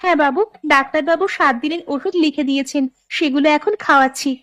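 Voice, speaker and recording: A woman's voice: high voice, fast talker, a bit bright, no room sound. A woman voicing a dutiful, earnest young village daughter in a cartoon story. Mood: cheerful, respectful. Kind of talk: animated story voice acting.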